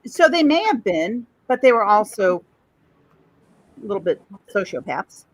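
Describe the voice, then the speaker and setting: A woman's voice: medium pitch, sing-song, a little slow, no room sound, rough recording. A woman from Chicago, a podcast guest, telling a family story in a rambling way that trails off and circles back. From Chicago, USA. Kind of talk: casual storytelling conversation.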